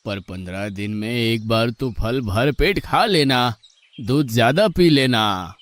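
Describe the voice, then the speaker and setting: A man voicing a pious, patient but gently exasperated ashram guru who coaxes in a measured way in a moral story. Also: medium pitch, lively intonation, dry recording. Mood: explaining. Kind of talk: animated moral story dialogue in Hindi.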